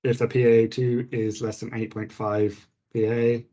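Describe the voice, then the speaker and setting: A man giving an informal clinical teaching session to nurses, his voice slightly croaky, with frequent fillers and restarts. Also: mid-range voice, little pitch movement, moderate pace, mellow, highs cut off.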